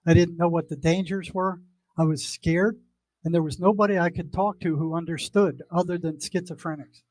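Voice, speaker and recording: A man's voice: a little high, steady tone, moderate pace, darkish tone, no room sound, poor audio. A man giving a conversational personal account in an interview.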